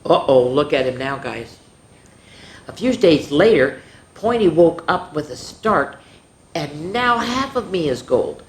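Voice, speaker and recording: Animated woman reading a children's book. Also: sits low, unhurried. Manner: animated, chatty asides to kids. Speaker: children's storyteller. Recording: roomy echo.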